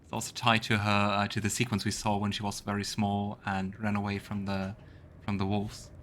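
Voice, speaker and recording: A man's voice: lowish voice, completely flat delivery, a little fast, a little hiss or hum. A man hosting a let's play, giving casual gaming commentary and thinking aloud in a conversational way. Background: loud game music.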